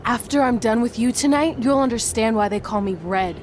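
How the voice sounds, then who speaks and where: A woman's voice: fairly high pitch, fairly quick, darkish tone, slight background noise, lightly acted. A woman playing a hotheaded young rookie wrestler in a video game cutscene. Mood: menacing. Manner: intense, menacing when angered.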